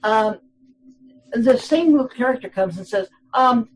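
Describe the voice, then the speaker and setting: A woman's voice: fairly high pitch, expressive, measured pace, slight background noise, low-quality recording. A woman in her eighties, a guest telling rambling anecdotes in a casual interview, full of fillers and restarts, often laughing.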